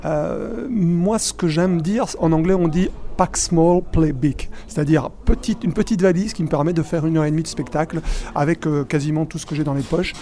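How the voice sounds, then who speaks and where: A middle-aged man, born in Spain and raised in Belgium, speaking as a magician guest in a casual interview, animated and full of restarts. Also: a little high, moderate pace, slight background noise. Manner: animated, many restarts and fillers.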